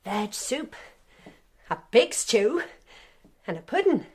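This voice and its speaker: A woman's voice: a little high, sing-song, slow pace, husky, full character voice. An actress playing a doting, insistent middle-aged country mother, fussing tenderly over her grown son in a radio drama. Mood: coaxing, eager.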